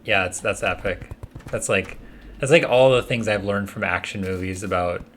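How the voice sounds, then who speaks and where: A young man chatting casually with a friend while they play a game, laid-back and quick to laugh. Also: on the low side, a bit bright, a little hiss or hum. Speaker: friend co-playing on stream. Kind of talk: casual gaming chat.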